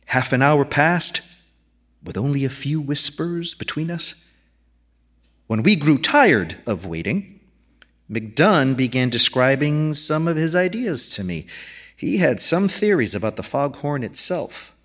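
A man reading a literary short story aloud in a measured, dramatic storytelling manner, with deliberate pauses. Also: on the high side, lively intonation, fairly bright tone. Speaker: story reader.

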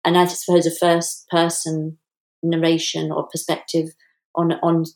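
A woman with a slightly low voice, recorded in a noticeably roomy space. She talks as a literary guest in a thoughtful podcast conversation, slipping animatedly into imagined replies to illustrate her points. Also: completely flat delivery, a little slow, darkish tone. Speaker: guest novelist and writing teacher. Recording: roomy, echoey sound.

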